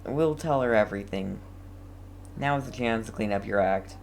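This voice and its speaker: A woman's voice: extremely low pitch, normal speed, a little mellow, slight background noise. A woman streamer giving casual playthrough commentary, with informal, slangy reactions. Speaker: streamer playing and reacting to a game.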